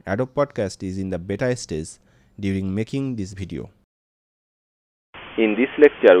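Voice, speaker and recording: A man reading a scripted lecture as a tutorial narrator, played as a sample with vintage vinyl effects. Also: fairly low pitch. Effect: vintage radio filter with vinyl crackle. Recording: faint hiss and record crackle.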